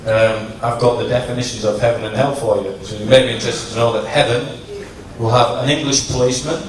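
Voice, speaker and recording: A man giving a humorous after-dinner tribute speech to an audience, in a teasing, deliberate storytelling manner. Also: medium pitch, fairly even intonation. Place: large function room at a dinner, distant microphone. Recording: echoey noisy hall, from far off.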